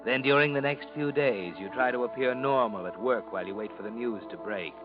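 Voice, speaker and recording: A man's voice: mid-range voice, fairly quick, close to an everyday voice. An actor playing a sinister, taunting narrator in a 1940s radio mystery, insinuating as he addresses a guilty man. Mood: taunting, ominous. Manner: insinuating, mocking, addressing the character as you. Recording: muffled old radio broadcast, organ-style music. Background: loud dramatic music.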